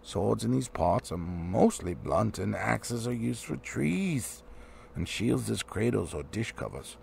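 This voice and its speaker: Man playing a gravelly, wise old wizard. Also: fairly low pitch, lively intonation, slow pace, darkish tone, exaggerated voice. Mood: frank, persuasive. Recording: faint background noise.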